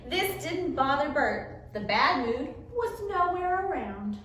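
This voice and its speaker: Animated woman reading aloud, very echoey room. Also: high voice. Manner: expressive, with dramatic emphasis and pauses. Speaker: children's librarian, story time host. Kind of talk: animated picture-book read-aloud for children. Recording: echoey room, distant mic, light noise.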